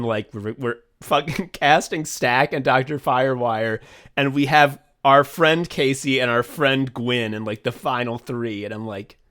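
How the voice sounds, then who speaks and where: A man in a mid-range voice, chatting loosely on a podcast about a project he wrote and directed. He rambles, swears freely and fills his talk with "like," breaking into quick, excited bursts. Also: mid-range voice. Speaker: podcast co-host and writer-director of the audio drama. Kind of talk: casual chat looking back on a project.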